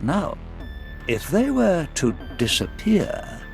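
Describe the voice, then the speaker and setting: An actor playing a genteel, scheming Sith lord in a video game, speaking in a formal, courtly and theatrical way. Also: male voice, fairly high pitch, huge pitch swings, slow, gravelly, soft background music, exaggerated voice. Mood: conspiratorial. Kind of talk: video game cutscene acting.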